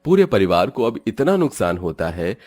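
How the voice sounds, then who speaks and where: A man's voice: lowish voice, very animated, normal speed, darkish tone. A man giving scripted narration for a cartoon story, telling it steadily and evenly.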